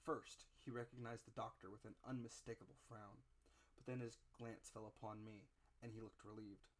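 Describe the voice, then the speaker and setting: Theatrical male storyteller, deliberate phrasing. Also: on the low side, level tone. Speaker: narrator. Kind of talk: dramatic literary audiobook narration.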